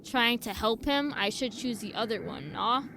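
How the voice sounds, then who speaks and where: A woman's voice: on the high side, animated, moderate pace, gravelly, noisy background. A woman streaming a game, reacting casually in informal, slangy speech. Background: game music.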